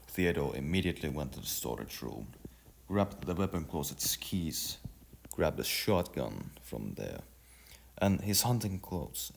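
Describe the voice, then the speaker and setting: A man narrating a scripted story dramatically, in a halting delivery with stumbles and repeated phrases. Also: rumbling low, vocal fry. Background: music.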